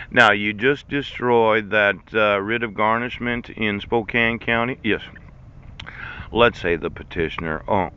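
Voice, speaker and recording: A man's voice: lowish voice, pushed and bright, clear, resonant tone. A man in his fifties ranting in a sarcastic monologue, laughing between lines and swearing. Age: 50s. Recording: dull lo-fi recording.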